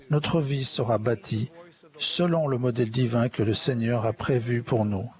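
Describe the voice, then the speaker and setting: A man interpreting a church conference sermon in a measured, solemn, earnest way. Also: medium pitch, a little mellow. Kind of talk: formal sermon delivered in translation.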